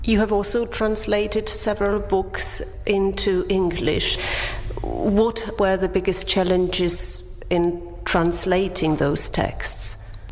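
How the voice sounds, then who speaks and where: A woman interviewer asking prepared questions in a calm, deliberate way. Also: mid-range voice. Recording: long reverb, faint background noise.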